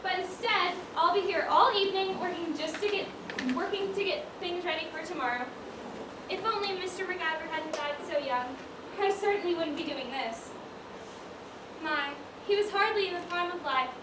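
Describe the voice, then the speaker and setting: A woman's voice: very high-pitched, moderate pace. A woman on stage playing a prim, wistful widowed dress-shop owner, full of old-fashioned exclamations. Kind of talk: amateur stage acting, one-act school play. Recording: roomy stage, distant mic, clear noise.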